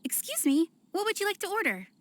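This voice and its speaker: A woman's voice: very animated, fairly quick. A woman voicing a polite, cheerful young inn waitress in a video game, with an eager, courteous patter. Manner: courteous, sales-pitch enthusiasm.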